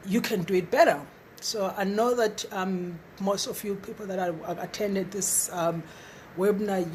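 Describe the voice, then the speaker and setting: A middle-aged woman with a husky voice giving an eager, encouraging webinar talk as a trainer, stumbling and repeating words now and then. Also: mid-range voice, a little hiss or hum, poor audio. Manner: stutters at times, repeats words; enthusiastic, encouraging. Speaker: facilitator and trainer.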